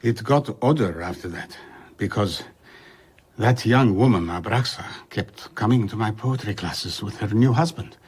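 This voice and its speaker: Wistful older traveller looking back, radio play, lowish husky voice, reflective storytelling. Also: male voice, normal speed, a little mellow. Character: older male traveller recalling his youth, reflective, wistful. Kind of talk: radio drama acting.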